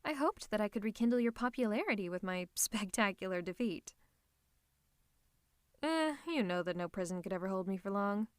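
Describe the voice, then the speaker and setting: A woman's voice: mid-range voice, lively intonation, normal speed, dry recording, clean studio sound, lightly acted. A woman voicing a theatrical, possessive female supervillain who is secretly a tender lover, in a scripted ASMR roleplay with a dramatic, haughty, teasing manner. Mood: fond grumbling. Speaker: ASMR voice performer.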